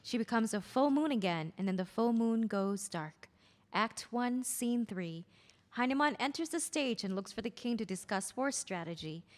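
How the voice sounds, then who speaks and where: A woman reading stage directions aloud at a play's table read, in plain, even narration. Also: a little high, normal speed, in a large hall.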